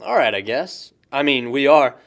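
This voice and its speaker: A man's voice: mid-range voice, lively intonation, normal speed, fairly bright tone. A young man playing a lighthearted, chatty, lovestruck guy in a casual audio drama, joking and rambling. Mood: chatty, amused. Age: young adult.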